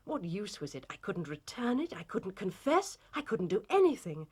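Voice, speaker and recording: A woman's voice: mid-range voice, very animated, moderate pace, darkish tone, breathy edge. An actress playing an anguished, proud titled Victorian lady in a period drama, formal and emotionally intense. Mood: anguished remorse.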